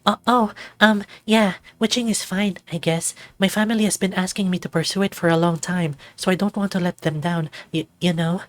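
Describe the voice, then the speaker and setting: A man's voice: sits high, steady tone, moderate pace, few pauses, fairly bright tone, no echo, faint music behind, voice pushed up. A man voicing a shy, sweet, anxious young female moth witch who speaks politely in hesitant stammers.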